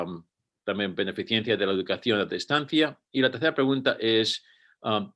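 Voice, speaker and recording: Spanish-born man giving a measured panel talk. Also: on the low side, a bit bright. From Spain. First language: Spanish, with rusty fluency. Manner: measured, read-out delivery with occasional restarts. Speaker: school director and panelist. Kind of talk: prepared panel presentation.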